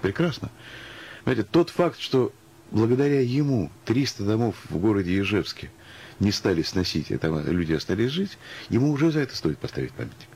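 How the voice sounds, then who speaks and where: A man's voice: fairly low pitch, big pitch swings, fairly quick, darkish tone, slightly rough. A man speaking as a guest in a radio interview, musing and ironic, arguing through anecdotes and examples. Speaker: interview guest, music professional.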